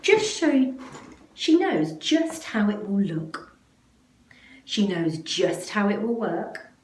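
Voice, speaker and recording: A woman reading a children's picture book aloud in an expressive way, with deliberate, dramatic phrasing that leans on the action words. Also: mid-range voice. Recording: roomy, echoey, faint background noise.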